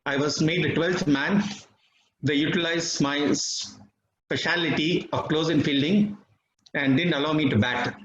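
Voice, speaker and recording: A middle-aged man from Bangalore with an Indian English accent and Tamil as his mother tongue, giving a reflective, measured personal testimony with pauses. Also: fairly high pitch. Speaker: devotee sharing experiences. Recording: dull, slightly roomy recording with faint noise.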